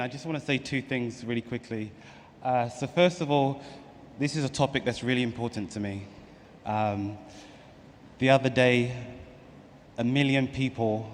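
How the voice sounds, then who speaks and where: A man with a London accent moderating a conference panel, speaking informally to introduce the session. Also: medium pitch. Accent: London English. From London, England. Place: large conference hall on stage. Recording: echoey hall PA, noticeable noise.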